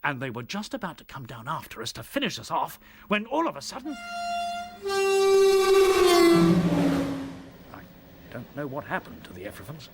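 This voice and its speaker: A man playing a weary, earnest rabbit officer in a radio play, telling his tale gravely with a British accent and a husky voice. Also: medium pitch, wildly swooping pitch, quick pace, background noise. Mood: awed. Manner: grave, dramatic storytelling. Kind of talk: radio drama acting.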